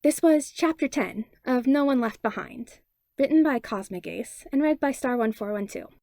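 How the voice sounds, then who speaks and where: A woman narrating a fanfiction audiobook in an expressive read-aloud manner on a close mic. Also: fairly high pitch, normal speed, gravelly, no echo. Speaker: fanfiction podfic reader. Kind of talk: storybook narration.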